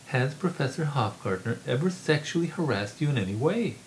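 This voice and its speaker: A man voicing a calm, firm male professor who questions a student in a reading of his novel. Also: mid-range voice, slight background noise, pitched above the performer's own voice, lightly acted. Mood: firm coaxing. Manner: measured, firm, coaxing.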